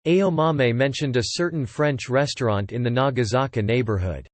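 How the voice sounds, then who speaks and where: A man narrates a literary audiobook drama at a middle pitch, telling the story as scripted third-person narration. The recording is dry and close, with very little room sound. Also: normal speed, no room sound.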